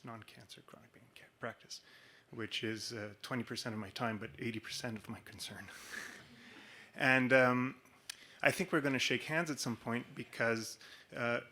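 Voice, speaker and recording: A man with a booming voice, a physician speaking up from the audience at a conference with lively, chuckling asides. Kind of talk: conference floor comment.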